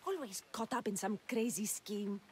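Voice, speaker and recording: A woman's voice: mid-range voice, moderate pace, close to an everyday voice. An actress playing a timid, worried village woman in a video game, effusive and deferential in her manner. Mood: worried, hesitant. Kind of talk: video game cutscene acting. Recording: clear ambient noise behind.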